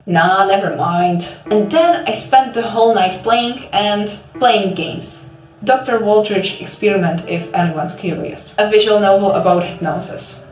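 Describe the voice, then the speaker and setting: A woman's voice: mid-range voice, unhurried, darkish tone, a little hiss or hum. A young woman from Ukraine narrating a video informally in a self-deprecating, jokey way, as an indie developer and host. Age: young adult. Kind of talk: informal video narration about her own project. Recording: echoey room, distant mic.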